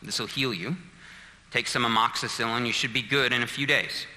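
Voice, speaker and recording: A man's voice: medium pitch, fairly quick, pushed and bright, in a large hall, poor audio. A man in his thirties preaching a sermon, telling a personal story and building to fervent, emphatic appeals. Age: 30s. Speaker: pastor.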